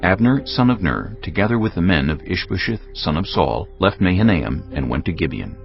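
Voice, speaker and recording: A man's voice: sits low, lively intonation, moderate pace, creaky. A man narrating a dramatized Bible as a scripted storytelling reading. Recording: dull lo-fi audio with music behind. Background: faint music.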